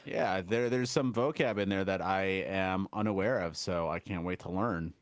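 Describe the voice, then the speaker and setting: A man with a fairly low voice chats on a casual podcast as the learner, joking and poking fun at himself. The recording is dry and close, with no room sound. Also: moderate pace, dry recording. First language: English. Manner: joking, self-deprecating learner.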